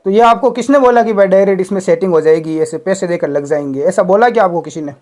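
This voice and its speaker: A man's voice: sits high, fast talker, darkish tone. A man talking on a phone call, explaining and questioning, repeating himself for emphasis. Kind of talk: phone conversation.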